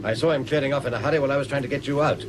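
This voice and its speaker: An actor playing a brisk, plucky, unflappable special agent in the style of a 1940s radio adventure serial. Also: male voice, medium pitch, fairly even intonation, background noise, close to an everyday voice. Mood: cool, determined.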